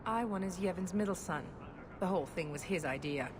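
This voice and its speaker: Woman acting a low-voiced, blunt dwarf woman. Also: a little fast, crowd noise, close to an everyday voice. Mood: scornful. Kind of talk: video game voice acting.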